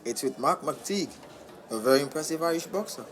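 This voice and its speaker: An actor playing a calm, money-minded boxing manager who talks smoothly and reassuringly in an audio drama. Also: male voice, fairly high pitch, brisk, lightly acted. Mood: persuasive. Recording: clear background noise.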